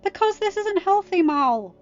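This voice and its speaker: A woman's voice: very high-pitched, animated, a little slow, voice pushed up. A woman voicing a blunt, stubborn young woman in an audiobook, a worried and bossy cousin who challenges. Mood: confrontational. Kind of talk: acted audiobook dialogue. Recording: dull lo-fi home recording, music underneath.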